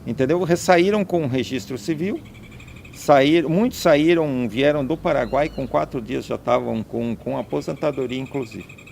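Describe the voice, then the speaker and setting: A man's voice: medium pitch, moderate pace, gravelly, a little mellow, slight background noise. A man being interviewed as a landowner, talking emphatically and stacking up points one after another. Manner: emphatic, listing and repeating points. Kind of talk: conversational interview.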